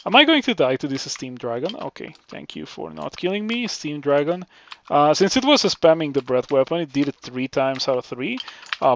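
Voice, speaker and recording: A man from Mexico with a Mexican Spanish accent and Spanish as his first language, calmly commentating a live game stream and thinking aloud with many fillers. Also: fairly high pitch, creaky, lo-fi sound, slight background noise.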